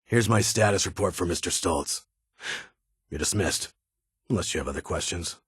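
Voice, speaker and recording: Gruff ghoul army officer for a game, deep n super raspy, stern formal military talk, guarded. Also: male voice, moderate pace, dry recording. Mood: measured, formal. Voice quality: raspy, gravelly. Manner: clipped, formal military delivery with dry authority. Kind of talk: video game character dialogue.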